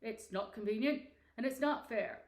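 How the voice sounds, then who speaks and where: A woman's voice: a little high, moderate pace, roomy sound, natural voice. A woman voicing a miserly, sour old businessman who scolds grudgingly and sarcastically in a storybook reading. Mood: grudging, irritated.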